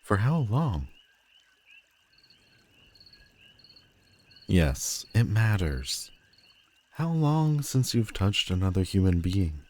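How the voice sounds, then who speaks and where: A man's voice: on the low side, big pitch swings, takes it slowly, a little mellow, a little hiss or hum. A man playing a telepathic supervillain in a roleplay audio drama, commanding and wry yet protective, speaking intimately straight to the listener. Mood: intent, probing.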